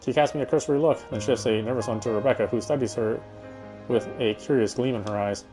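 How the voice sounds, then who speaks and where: Male game player narrating prose aloud, occasional stumbles, clear music bed behind. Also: mid-range voice, fast talker. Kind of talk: first-person story narration read aloud.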